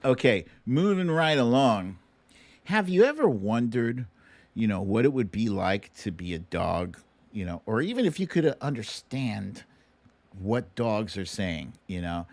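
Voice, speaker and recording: A man's voice: fairly low pitch, very animated, a little slow, a little mellow. A man hosting an online live show, chatting casually and playfully, straight to his viewers. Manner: playful, informal, addresses viewers directly.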